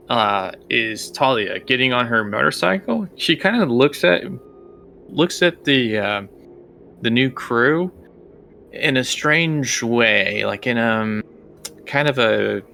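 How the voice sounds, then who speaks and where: A man narrating a tabletop game as game master, improvising scene descriptions in a halting way. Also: medium pitch, vocal fry, pushed and bright, slight background noise.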